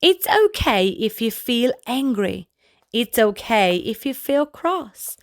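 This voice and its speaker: A woman narrates a children's read-aloud in a fairly high voice. Her delivery is very slow and deliberate, gently pitched at little listeners. The sound is dry, with hardly any room echo. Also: lively intonation. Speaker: children's storybook reader, channel host.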